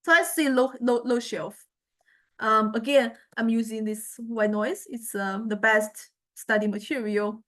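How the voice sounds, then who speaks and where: A woman lecturer with a fairly high voice walks through a software demonstration. She talks informally and thinks aloud, often stopping and correcting herself as she explains each step. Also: steady tone, measured pace. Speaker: music technology lecturer.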